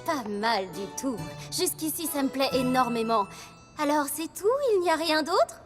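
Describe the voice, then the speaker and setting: A woman's voice: high voice, animated, moderate pace, over music. A woman voicing a flamboyant, self-important female pony pop star in a French cartoon dub, theatrical and commanding. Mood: appraising.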